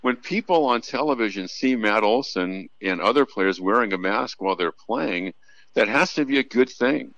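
A man's voice: fairly low pitch, expressive, moderate pace, a bit bright, dry recording, dull audio. A man who works as a radio play-by-play announcer, speaking earnestly as a guest in a conversational sports interview.

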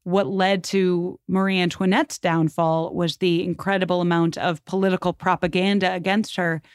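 A woman's voice: fairly low pitch, little pitch movement, normal speed, smooth, no echo, clean studio sound. A woman podcast guest telling history in an informal, conversational, explanatory way with plenty of filler words. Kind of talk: conversational storytelling about history.

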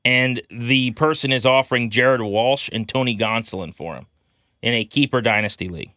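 A man with a forward, bright voice talks as a sports podcast co-host. He is opinionated and conversational, in a loose, casual style. The sound is very dry, with no room around it. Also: mid-range voice, moderate pace, no echo. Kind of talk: casual baseball talk.

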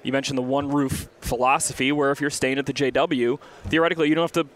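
A man co-hosting a sports media show outdoors, chatty and storytelling, his voice slightly hoarse. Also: medium pitch, steady tone, quick pace, fairly bright tone. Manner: chatty, storytelling, restarts often. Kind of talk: casual radio-style chat. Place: outdoors at a city event.

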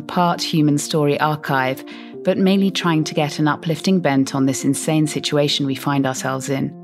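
A woman with a very low voice reads a podcast introduction in a calm, measured way. Music plays clearly beneath her words throughout. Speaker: podcast host.

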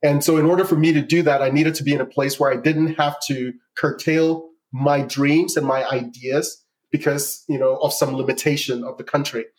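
A man's voice: a little high, steady tone, normal speed, low-quality recording. A man in his forties from Ghana, telling his life story as a guest in a casual interview and often repeating words.

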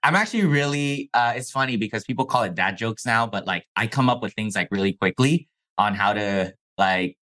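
A young man with a lowish voice, raised in Mexico and a Chinese speaker from birth, talks through his childhood as a podcast guest. He keeps it loose and casual, dropping "bro" and "like" as he goes. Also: lively intonation, fairly quick, hardly any pauses, pushed and bright. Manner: laid-back, says "bro" and "like" often. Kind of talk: casual interview storytelling.

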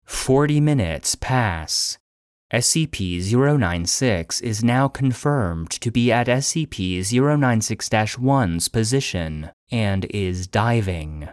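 A man's voice: on the low side, expressive, takes it slowly, no echo, clean studio sound. A man voicing an impersonal facility database, reading classified logs in a formal, clinical manner. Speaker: database narrator reading an incident log. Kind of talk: scripted narration.

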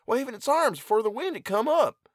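A man's voice: extremely high pitch, very animated, moderate pace, no echo, studio quality, pitched above the performer's own voice, exaggerated voice. A man voicing a sullen young farm boy who speaks in guarded, rustic dialect.

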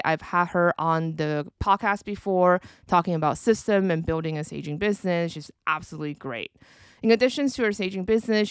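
A woman's voice: on the low side, clean tone, no room sound. A woman originally from Taiwan, hosting a solo podcast episode in an upbeat, conversational way, in her forties. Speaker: podcast host.

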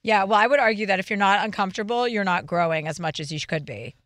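A woman's voice: mid-range voice, fast talker, fairly bright tone, dry recording, studio quality. A woman in her forties hosting a talk show, giving brash, emphatic advice and swearing freely in casual chat.

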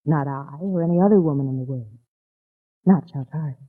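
A woman's voice: deep voice, brisk. An actress playing a bold, sardonic young woman in a 1940s radio drama, direct and taunting. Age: young adult. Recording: tinny, narrow 1940s radio recording.

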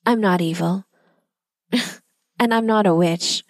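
A woman voicing a lonely old woman who acts like a threatening witch, loud and angry at first, then quiet and sad. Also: medium pitch, slow pace, no room sound, clean studio sound.

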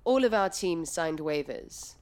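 An actress playing a composed, reassuring doctor on a military project in an audio drama. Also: female voice, lowish voice, lively intonation, a little slow, a little mellow. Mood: amused. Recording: clear background noise.

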